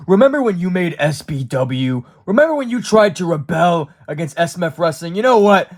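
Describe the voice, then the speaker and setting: A man's voice: high voice, expressive, normal speed. A man playing a bossy, hot-tempered, sneering wrestling show owner in a staged promo. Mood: mocking.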